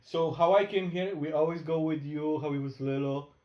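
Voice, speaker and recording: A man's voice: a little high, moderate pace, a little mellow, lo-fi sound. Laughing man, echoey room. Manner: broken English, laughing, rambling storytelling. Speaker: podcast co-host. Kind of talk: casual chat. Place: home room with a dog.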